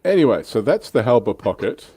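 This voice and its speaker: A man's voice: medium pitch, big pitch swings, a little fast, mellow, slightly rough. A man hosting a casual hobby livestream, chatting in an easy conversational way. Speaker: livestream host.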